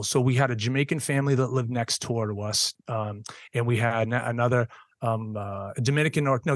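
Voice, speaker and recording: Man, middle-aged, earnest, halting, mid pitch, dry recording. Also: dry recording. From Boston, USA. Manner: earnest, halting, frequent restarts and ums. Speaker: public school teacher, podcast guest. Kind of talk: conversational storytelling interview.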